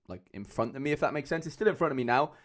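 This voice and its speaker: A young man with a Cardiff accent chatting casually as a livestream host, loose and rambling with lots of fillers, speaking into a mic on a boom arm at home. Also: expressive, racing. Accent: Cardiff Welsh. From Wales. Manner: chatty, loose, many fillers, calls viewers lads.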